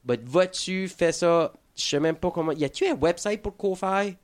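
A man's voice: high voice, moderate pace. A man with an Acadian French accent from rural New Brunswick hosts a casual podcast, joking and rambling, mixing English words into his French. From rural Acadian New Brunswick, Canada. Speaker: podcast host.

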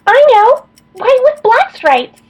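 A woman's voice: very high voice, big pitch swings, takes it slowly, muffled recording, full character voice. A woman playing a sweet, earnest rich girl in an amateur radio-play reading of an old comic. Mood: eager.

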